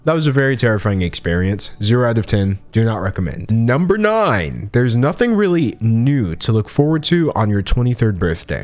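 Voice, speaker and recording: A man in his early twenties giving casual comedic commentary as an online video host, self-mocking, with dramatic pauses and emphasis. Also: fairly low pitch.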